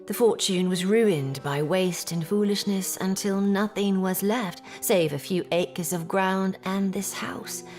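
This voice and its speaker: An actress playing a troubled young Victorian gentlewoman, telling her story formally in an audio drama. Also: medium pitch, unhurried, few pauses, soft background music, natural voice. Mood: grave, composed. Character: distressed Victorian English gentlewoman recounting family misfortune. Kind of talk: period audio drama acting, narrative monologue.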